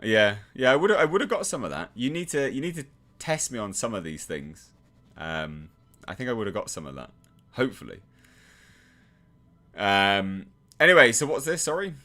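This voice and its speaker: Chatty English streamer with an animated voice. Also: male voice, mid-range voice, normal speed, pauses often. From England. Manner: chatty, self-deprecating, lots of restarts and fillers. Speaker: channel host reading live chat. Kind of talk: casual livestream chat.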